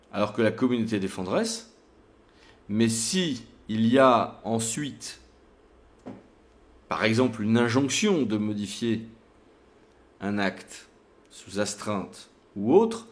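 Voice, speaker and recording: Man presenting an explanatory legal tutorial. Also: on the low side.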